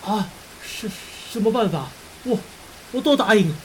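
A woman's voice: medium pitch, animated, unhurried, a little hiss or hum, natural voice, low-quality recording. A woman in an audio drama playing a desperate businessman who stammers and begs breathlessly. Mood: hesitant, afraid. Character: desperate, pleading businessman, a husband and father.

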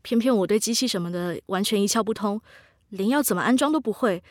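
Actress playing a soft, polite new neighbor. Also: female voice, medium pitch, fast, dry recording, clean studio sound, close to an everyday voice. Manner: polite, soft-spoken, apologetic. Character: gentle, polite newly moved-in young wife. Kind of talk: radio drama acting.

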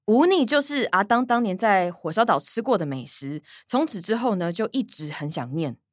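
An actress playing a perky young Taiwanese granddaughter who talks like a cheery video presenter, in a radio drama. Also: female voice, mid-range voice, lively intonation, moderate pace, no room sound, clean studio sound, natural voice. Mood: presenting. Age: young adult.

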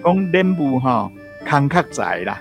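Taiwanese guy, gravelly voice, folksy local expert explaining stuff, music under him. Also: medium pitch, very animated, measured pace. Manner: folksy, repetitive, with frequent particles. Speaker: local culture and history expert. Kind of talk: informal explanation.

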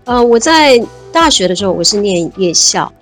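A woman's voice: mid-range voice, sing-song, moderate pace, faint music behind. A woman, talking reflectively as an interview guest and laughing easily. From Taiwan. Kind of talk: casual interview chat.

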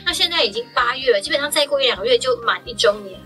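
Bright-voiced Taiwanese woman hosting a chat. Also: sits high, expressive, rapid-fire, faint music behind. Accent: Taiwanese Mandarin. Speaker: podcast host. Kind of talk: casual group chat.

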